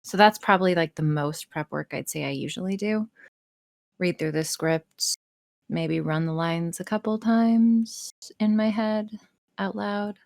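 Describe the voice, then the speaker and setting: A woman's voice: lowish voice, a little slow, vocal fry, no room sound. Blunt, sweary woman chatting in a dry-sounding space. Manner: sweary, blunt, self-deprecating, animated storytelling with acted-out asides. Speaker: podcast guest, audio voice performer. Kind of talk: casual interview chat.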